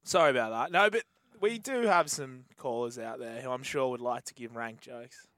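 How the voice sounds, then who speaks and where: A man's voice: medium pitch, moderate pace, fairly bright tone, dry recording, studio quality. An Australian man co-hosting a talk radio show, playful as he recalls old stories. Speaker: radio co-host.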